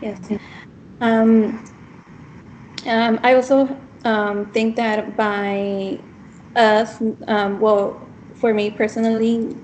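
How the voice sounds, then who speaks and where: A young woman whose first language is Spanish, talking in a casual class discussion, halting often with ums and restarts. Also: a little high, flat delivery, darkish tone, slight background noise, low-quality recording. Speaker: student teacher in training.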